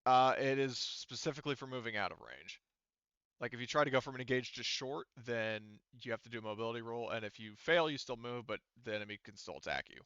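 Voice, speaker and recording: A man's voice: medium pitch, quick pace, fairly bright tone, clear, resonant tone, dry recording, dull audio. A man running a tabletop roleplaying game, describing scenes and explaining rules in an informal, sweary, conversational way. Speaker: game master. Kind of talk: narrating and refereeing a tabletop roleplaying game, casual.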